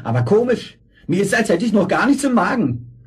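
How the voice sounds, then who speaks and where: Husky, greedy, gloating fox. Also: male voice, high voice, sing-song, fairly quick, exaggerated voice. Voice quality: husky, raspy. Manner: gloating, mocking. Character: greedy, sly, gloating big male fox. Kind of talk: children's fairy-tale radio play acting.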